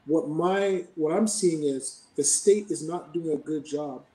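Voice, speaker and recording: A Canadian man answering interview questions in a measured, explanatory way, often checking in with "right?". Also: a little high, mellow, echoey room, recorded from a distance. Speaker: podcast guest.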